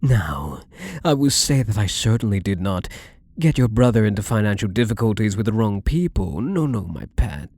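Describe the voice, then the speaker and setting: A man's voice: lowish voice, big pitch swings, normal speed, a little mellow, no room sound. A man playing a wealthy, obsessively devoted and controlling gambler in an intimate ASMR roleplay, with doting, menacingly tender delivery and formal wording. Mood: smug, controlling.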